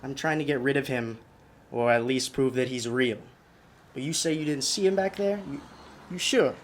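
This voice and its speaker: A man's voice: mid-range voice, normal speed, close to an everyday voice. An actor playing a haunted, desperate young male driver in a radio drama, with an intense delivery that stammers under agitation. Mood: frantic insistence. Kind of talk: radio drama acting with first-person narration. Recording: clearly noisy radio play recording.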